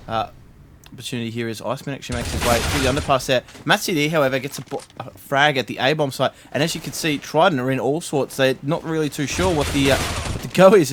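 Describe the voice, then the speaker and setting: A man's voice: mid-range voice, slight background noise. Man calling esports play-by-play. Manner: steady play-by-play with frequent uh fillers. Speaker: esports caster. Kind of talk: live esports commentary.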